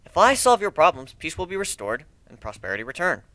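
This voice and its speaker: A man's voice: fairly high pitch, sing-song, fast talker, bright, forceful tone, no echo, pitched above the performer's own voice. A man voicing a brash, cocky griffin adventurer with a blunt, sardonic way of talking, in a fantasy story reading. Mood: negotiating.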